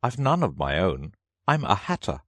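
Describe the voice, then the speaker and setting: A man's voice: low voice, huge pitch swings, normal speed, fairly bright tone, dry recording, full character voice. A man voicing a nervous, apologetic hatter from a storybook, with a hesitant manner.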